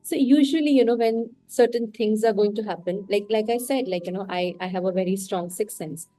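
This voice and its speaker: Warm-voiced Indian woman, reflective. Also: medium pitch, brisk, soft background music. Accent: Indian English. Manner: reflective and candid, talks through her thoughts. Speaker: podcast guest. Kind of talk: casual interview conversation.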